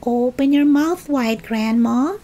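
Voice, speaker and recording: A woman's voice: high voice, slow pace, warm tone, pitched above the performer's own voice. A woman voicing an eager, cheeky, politely reassuring young boy in a children's storybook. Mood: coaxing.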